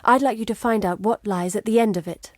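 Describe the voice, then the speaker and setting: A woman acting as a determined scholar-adventurer from a fantasy video game. She speaks at a mid pitch, articulate and businesslike, laying out missions in measured phrases. The recording is close and dry. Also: no echo, close to an everyday voice. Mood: intrigued, purposeful. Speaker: quest-giver character in an action RPG. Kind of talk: scripted game dialogue, briefing.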